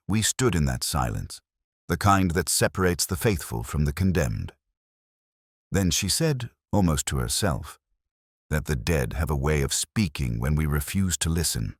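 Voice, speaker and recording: A man narrating a literary detective mystery in the first person, in a measured and solemn way. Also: a bass voice, no room sound, clean studio sound.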